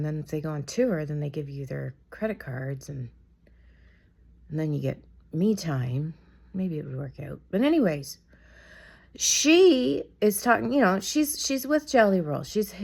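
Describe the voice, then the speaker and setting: A woman whose voice is rough and raspy from a cold, with a gravelly edge and a somewhat low pitch. She rambles casually to the camera, opinionated and full of asides about celebrity gossip. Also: a little mellow. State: sick, raspy from a cold, coughing. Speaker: vlogger.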